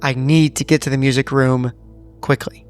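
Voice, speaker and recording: A man playing an earnest, placating doctor who speaks haltingly and politely in a tabletop horror game. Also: mid-range voice, little pitch movement, smooth, faint music behind, natural voice. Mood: polite, urgent.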